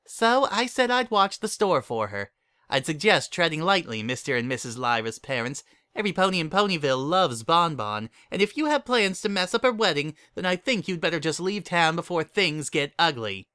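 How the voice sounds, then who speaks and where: A man's voice: fairly high pitch, very animated, normal speed, sharp tone, clean tone, dry recording, studio quality, voice pushed up. A man voicing a protective female cartoon pony who gives stern, indignant warnings. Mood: stern, threatening.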